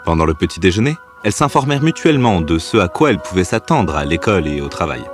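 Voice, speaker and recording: Deep, lively male storyteller. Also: fast talker, soft background music. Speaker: narrator. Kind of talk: scripted children's story narration.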